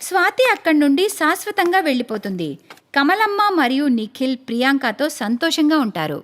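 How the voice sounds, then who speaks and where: A woman narrating a cartoon moral story in a lively storytelling manner. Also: high voice, brisk.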